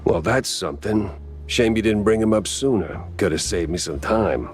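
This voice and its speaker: An actor playing a wry, world-weary mouse private detective in a noir video game, with deadpan hard-boiled delivery. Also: male voice, on the low side, moderate pace, soft background music, natural voice. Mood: dry, wry. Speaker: detective narrator and lead character. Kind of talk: film noir game dialogue and narration.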